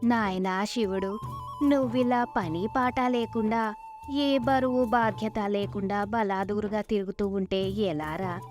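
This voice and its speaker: A woman's voice: medium pitch, close to an everyday voice. A woman voicing a poor, weary village mother in a moral tale, chiding and pleading.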